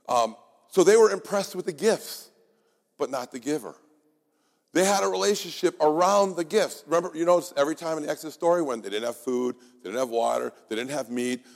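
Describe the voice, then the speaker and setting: A man preaching a sermon in an earnest, exhorting way, his voice gone raspy. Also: on the high side, a little mellow. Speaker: pastor. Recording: long hall reverb.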